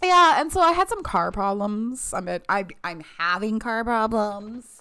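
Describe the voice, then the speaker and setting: A woman's voice: mid-range voice, animated, measured pace, pushed and bright, clear, resonant tone. A young woman streaming a mobile shooter, chatting casually with viewers and laughing often. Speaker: game streamer. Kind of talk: casual live-stream chat while playing.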